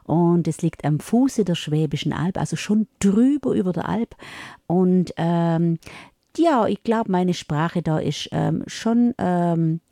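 Middle-aged Upper Swabian woman, interview guest, warm and dry, halting delivery. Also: on the low side, expressive, no room sound. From Upper Swabia, Germany. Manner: hesitant, many fillers and restarts. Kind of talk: casual interview chat.

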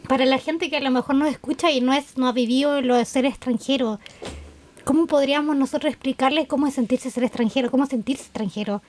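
A woman with a Chilean Spanish accent and a high voice hosts a relaxed chat. She asks curious questions and keeps the talk going with quick affirming sounds. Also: slight background noise. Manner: curious, asks follow-up questions, many backchannels. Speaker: host. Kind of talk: casual podcast conversation.

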